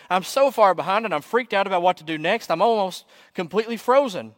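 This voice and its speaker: Guy acting a worn-out desperate pilgrim in a sermon story, raw and emotional, highish, echoey hall. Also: a bit bright. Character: weary, desperate pilgrim pouring out his heart. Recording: echoey hall with long reverb.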